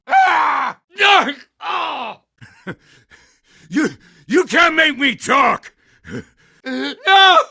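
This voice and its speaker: An actor playing a frantic, defiant criminal thug in a video game, blurting and pleading in a strained, gravelly voice. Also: male voice, very high voice, sing-song, drawn-out words, long pauses, bright, forceful tone, raised voice, exaggerated voice. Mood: panicked defiance. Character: panicky, defiant, foul-mouthed male criminal thug.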